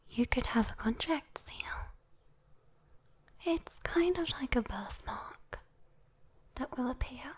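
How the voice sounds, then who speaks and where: A woman with a British accent voicing a sweet, teasing, possessive demon girl in a soft, intimate whispered ASMR roleplay. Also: on the high side. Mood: tender, coaxing. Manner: slow, coaxing, intimate, playful. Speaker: ASMR voice performer.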